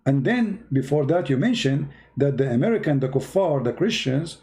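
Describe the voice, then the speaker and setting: A man's voice: fairly high pitch, lively intonation, moderate pace, dark tone. A man whose first language is Arabic hosts a heated debate, mocking and pressing a caller with repeated questions. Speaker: debate show host. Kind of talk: heated live debate call.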